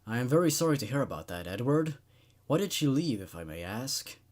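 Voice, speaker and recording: A man's voice: mid-range voice, sing-song, a little fast, a little mellow, lightly acted. A man voicing a curious, probing male psychiatrist in a horror story, with an inquisitive manner. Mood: polite, probing.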